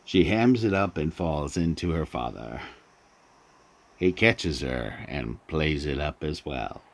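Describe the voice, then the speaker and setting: Super deep gravelly narrator guy, talks really slow, measured radio drama reading. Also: expressive, a little hiss or hum.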